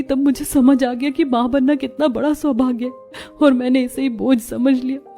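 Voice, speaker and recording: A woman's voice: high-pitched, warm tone. A woman voicing a proud young daughter-in-law in a melodramatic cartoon family drama.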